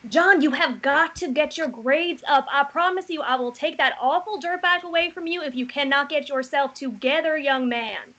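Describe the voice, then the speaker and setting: A woman's voice: high voice, moderate pace, hardly any pauses, sharp tone. A woman acting a stern, disapproving mother of a teenager at a screenplay table read. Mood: scolding. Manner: scolding, disapproving. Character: stern, nagging middle-aged mother of teenager. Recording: dull lo-fi microphone.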